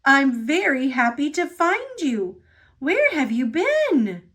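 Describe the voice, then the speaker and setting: A woman's voice: sits high, huge pitch swings, takes it slowly, a bit bright, voice pushed up, heavily acted. A woman voicing a kind, determined male steam engine friend in a children's storybook, reassuring and caring in manner.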